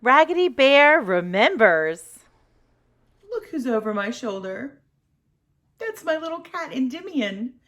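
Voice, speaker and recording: A woman's voice: high voice, very animated, slow pace, long pauses, fairly bright tone. A woman hosting a children's storytime, explaining things simply and reassuringly for young listeners.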